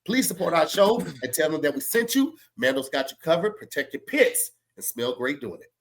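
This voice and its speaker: A man co-hosting a podcast, stuffy with a cold, talking in a loose, joking way and reading an ad with drawn-out emphasis. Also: fairly high pitch, sing-song. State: stuffy, congested. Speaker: podcast co-host. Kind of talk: casual chat and read ad.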